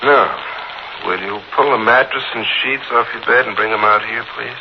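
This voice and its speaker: An American actor playing a menacing gangster in a 1940s radio thriller, taunting with mock politeness and a sneer. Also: male voice, medium pitch, normal speed, close to an everyday voice. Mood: commanding. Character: menacing wounded gangster holding a mother hostage. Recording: muffled, noisy 1940s radio recording.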